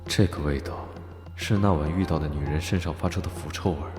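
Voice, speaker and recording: A man playing an aloof, commanding nobleman in an animated drama, measured and detached with a dry, teasing edge. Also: rumbling low, music in the background. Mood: cool, grave. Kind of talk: Chinese animated drama voice acting.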